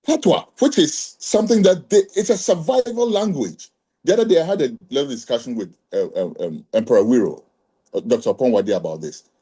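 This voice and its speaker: Man addressing a group: husky, with a lively rising and falling delivery. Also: mid-range voice, fairly quick. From Ghana. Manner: emphatic, asks rhetorical questions. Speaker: speaker at a Rastafari community discussion. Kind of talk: reasoning talk to a group.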